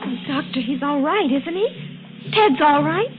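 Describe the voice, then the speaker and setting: High-voiced, anxious country woman. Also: animated, moderate pace. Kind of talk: old radio drama acting. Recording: tinny vintage radio, loud music behind.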